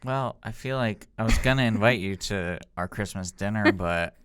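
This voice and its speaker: A man's voice: lowish voice, normal speed, fairly bright tone, clear, resonant tone, no echo, clean studio sound. A young man co-hosting a podcast with casual, conversational banter. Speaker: podcast co-host.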